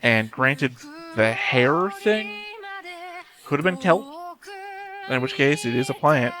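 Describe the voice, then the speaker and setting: A man's voice: medium pitch, sing-song, slow pace, over music. A man hosting a reaction video, giving casual analytical commentary in a rambling, thinking-aloud way.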